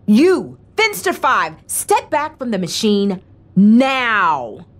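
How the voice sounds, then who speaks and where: Audio drama resistance girl, woman acting: earnest and resolute, highish swooping voice, unhurried. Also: big pitch swings. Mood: commanding, tense. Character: earnest young female resistance fighter, resolute, wistful.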